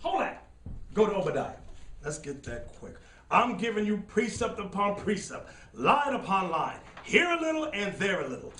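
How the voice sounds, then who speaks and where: Fiery high-voiced male preacher, shouting. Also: sing-song, moderate pace, distant mic, slight background noise. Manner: shouts, repeats phrases, directs the reader. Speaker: preacher teaching from scripture. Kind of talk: fiery street-style bible teaching. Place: studio set with dark backdrop. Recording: roomy sound from off-mic.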